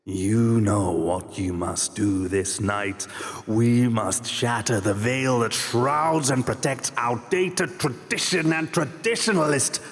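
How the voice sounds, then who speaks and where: A man playing a scheming revolutionary pony leader giving a grand, oratorical rallying speech in a fan radio drama. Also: mid-range voice. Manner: grand, oratorical, building to a shout. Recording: long hall reverb.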